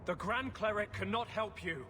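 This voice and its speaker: An actor playing a grim, fanatical rebel mage in a fantasy game, speaking with solemn, resolute intensity. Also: male voice, high-pitched, moderate pace, background noise, natural voice. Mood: defiant. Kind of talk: dramatic fantasy game acting.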